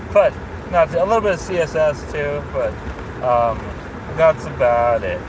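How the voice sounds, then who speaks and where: A man in his late thirties talks into a dashboard phone camera in his parked car at night. He rambles loosely, stumbling over fillers and restarts with little chuckles. Steady car and road noise sits clearly behind him. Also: a little high, a little slow. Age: 30s. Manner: meandering, many fillers and restarts, chuckles often. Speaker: vlogger. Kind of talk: rambling casual vlog. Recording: noisy car interior.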